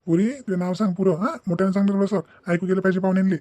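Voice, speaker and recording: A man's voice: sits high, fairly even intonation, rapid-fire, warm tone, dry recording, lightly acted. A man voicing a questioning village matchmaking figure in a comic cartoon, colloquial, on a noisy track. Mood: brisk, questioning. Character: village men at a matchmaking visit.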